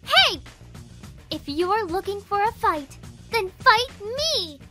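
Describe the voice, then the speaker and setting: A woman's voice: very high-pitched, very animated, takes it slowly, over loud music, full character voice. A woman voicing a feisty, cocky young animal heroine in a cartoon comic dub, bold and challenging. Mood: defiant.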